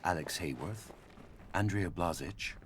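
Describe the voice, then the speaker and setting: A man's voice: sits low, a little slow, background noise. A man reading scripted quotations for game audio logs.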